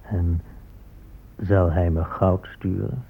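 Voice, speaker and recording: An actor playing a self-satisfied, gold-hungry Spanish conquistador in a radio drama, speaking in a blunt, matter-of-fact way. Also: male voice, sits low, steady tone, takes it slowly, creaky, slightly rough, full character voice. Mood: curious, greedy. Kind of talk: radio drama monologue and dialogue. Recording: muffled phone-like old radio play.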